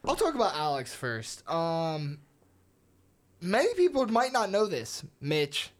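A woman's voice: lowish voice, very animated, slow pace. A woman from the United States co-hosting a sports podcast, chatty and playfully teasing her co-host. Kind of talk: casual sports podcast chat.

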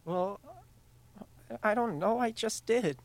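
A woman's voice: medium pitch, takes it slowly, close to an everyday voice. A woman playing an earnest, nervous twelve-year-old boy in an audio drama, with a hesitant, stammering delivery. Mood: hesitant, unsure. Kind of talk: student audio drama acting.

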